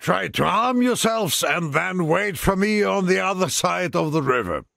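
An actor playing a gruff, weary veteran military commander with a gravelly, husky voice in a video game. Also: male voice, high voice, animated, a little slow, a bit bright, no room sound, studio quality, heavily acted. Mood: grave, urgent. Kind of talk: video game cutscene acting.

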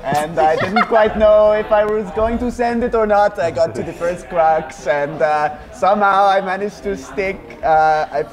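A man's voice: high voice, measured pace, hardly any pauses. A young man jokily recounting a climb, mock-interview style, in an indoor bouldering gym. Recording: crowded gym chatter behind.

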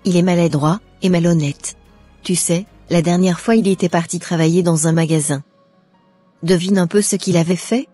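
A woman voicing a stern, exasperated mother in a cartoon, with a scolding, deliberate way of speaking. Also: fairly low pitch, fairly even intonation, mellow, breathy edge, dry recording, faint music behind. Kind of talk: scripted cartoon dialogue.

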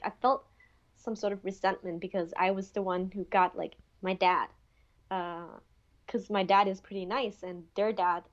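A woman's voice: medium pitch, muffled recording. A young woman from Denmark, a podcast guest, chatting casually at home with many "like" and "um" fillers over an earphone mic on a video call. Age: young adult. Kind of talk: casual interview chat. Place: bedroom at home.

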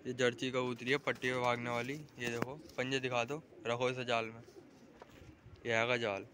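A man's voice: mid-range voice, monotone, normal speed, noisy background. A young man vlogging casually from a rooftop, showing off pigeons and directing his friends as he goes. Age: young adult. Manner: chatty, directing friends, calling out to viewers. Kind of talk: casual vlog narration. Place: outdoors on a rooftop. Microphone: phone camera held in hand.

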